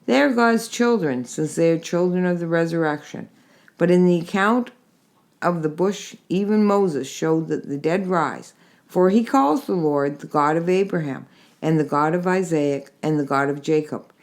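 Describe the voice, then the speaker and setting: Woman reading scripture, slightly low voice. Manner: measured, steady reading with gentle emphasis. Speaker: scripture reader. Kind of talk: Bible reading.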